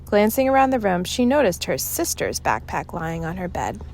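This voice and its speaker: A woman's voice: on the low side, sing-song, moderate pace, darkish tone, a little hiss or hum. A woman narrating a children's chapter book aloud as a storyteller. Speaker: storybook reader.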